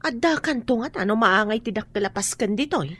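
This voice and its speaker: A woman's voice: a little high, lively intonation, fairly quick, a bit bright, breathy edge. A woman with a Filipino accent playing a sociable, inquisitive friend in a radio drama. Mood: curious, chummy.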